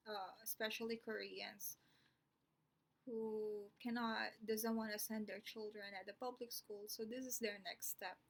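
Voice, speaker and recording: A woman's voice: a little high, creaky, rough recording. A young woman from the Philippines with a Filipino English accent, explaining things in a chatty, rambling vlog full of ums and asides. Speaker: vlogger.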